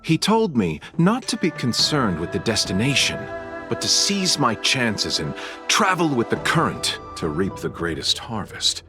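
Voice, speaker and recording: A man's voice: mid-range voice, wildly swooping pitch, unhurried. A man voicing a courteous, philosophical traveller in an anime game, with a polished, eloquent delivery. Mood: gracious. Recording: clear music behind the voice.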